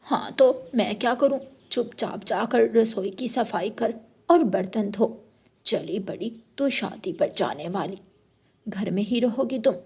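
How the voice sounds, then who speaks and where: A woman's voice: mid-range voice, unhurried, gravelly, mellow, breathy edge, full character voice. A woman voicing a greedy, cruel old mother-in-law bird in a children's cartoon, taunting and bossing with scorn. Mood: scolding. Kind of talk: Hindi children's cartoon story voice acting.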